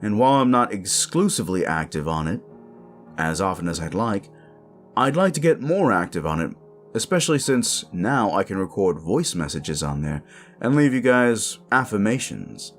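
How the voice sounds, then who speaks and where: A man's voice: on the low side, very animated, moderate pace, soft background music. A man speaking casually to his listeners as the creator and host of an audio channel. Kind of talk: casual outro addressed to listeners.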